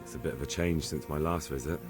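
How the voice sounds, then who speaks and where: A man's voice: rumbling low, fairly even intonation, quick pace, music in the background. An actor playing an earnest, polite young man, a little hesitant, in a medieval video game cutscene. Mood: sympathetic, subdued. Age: young adult.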